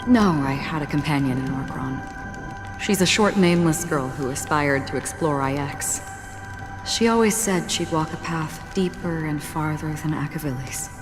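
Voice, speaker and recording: Woman playing very low, melancholy female wanderer. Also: moderate pace, natural voice. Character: melancholy, detached female wanderer, soft-spoken and stoic. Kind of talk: dramatic game dialogue. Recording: long hall reverb, music behind. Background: music.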